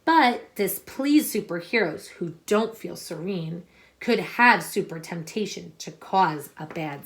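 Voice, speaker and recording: A woman teacher reading a picture book aloud to children, expressive and instructive, pausing to explain and ask questions. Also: medium pitch. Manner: deliberate, instructive, addressing young listeners with questions.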